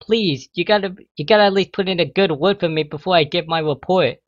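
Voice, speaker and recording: A man's voice: high-pitched, a little fast, no echo, dull audio, pitched above the performer's own voice, close to an everyday voice. Man putting on an anxious, whiny young unicorn girl. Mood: pleading. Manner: pleading, flustered, protesting. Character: anxious, whiny young female unicorn student, exasperated with her mother.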